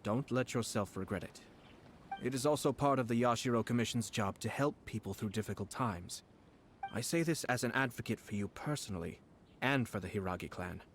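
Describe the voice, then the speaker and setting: A man playing a composed, courteous young nobleman in a video game, speaking formally and diplomatically in measured phrases. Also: medium pitch, a little hiss or hum, lightly acted. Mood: earnest, courteous. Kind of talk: scripted video game voice acting.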